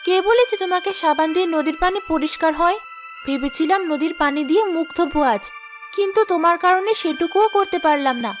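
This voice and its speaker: A woman's voice: very high voice, quick pace, over music. A woman playing a vain, quarrelsome young village wife in a cartoon, with a haughty, complaining manner. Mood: haughty, scornful. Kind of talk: cartoon voice acting.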